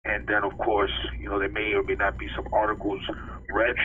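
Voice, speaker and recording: A man's voice: on the low side, monotone, a little fast. A man hosting a casual call-in live stream, chatty and informal with his guests and listeners. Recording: tinny phone-line sound.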